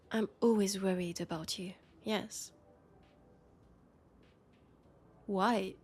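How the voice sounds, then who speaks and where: A woman with a French accent playing a worried, exasperated female police colleague and roommate in an intimate sci-fi audio roleplay, speaking softly and hesitantly. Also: mid-range voice, steady tone, slow, slightly rough. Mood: worried, flustered.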